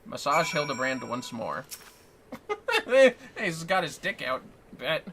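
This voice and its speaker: A man's voice: a little high, sing-song, slow, pushed and bright, slight background noise. A man chatting casually on a gaming livestream, joking and swearing as he plays. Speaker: game streamer. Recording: music behind the voice.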